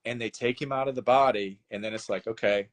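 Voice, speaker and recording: Male author guest, mid-pitched, lively storyteller who slips into quick voiced dialogue. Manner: animated storyteller, acts out dialogue with laughs. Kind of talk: casual interview chat.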